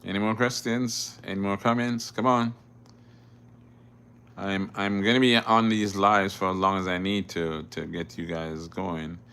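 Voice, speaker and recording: A man's voice: deep voice, fairly even intonation, a little slow, a bit bright, smooth. A middle-aged man from Jamaica, a driving instructor, hosting a casual live Q&A where he reads viewer questions aloud and answers them plainly. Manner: repeats words and restarts often. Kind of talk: casual livestream Q&A.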